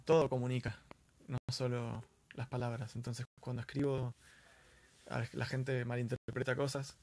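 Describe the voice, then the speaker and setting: A man's voice: mid-range voice, darkish tone, dry recording. A man with an Argentine Spanish accent, talking casually and earnestly as the host of a live stream, often repeating words for emphasis.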